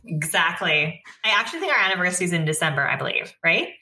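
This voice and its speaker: A woman's voice: on the low side, big pitch swings, quick pace, sharp tone. A woman from Canada talking casually and candidly as a podcast guest.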